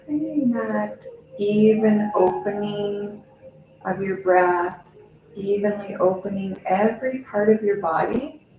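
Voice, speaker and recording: A woman yoga teacher leading a guided meditation in a gentle, unhurried way, with short, spaced-out phrases. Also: mid-range voice, highs cut off. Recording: very echoey, music under the voice. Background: music.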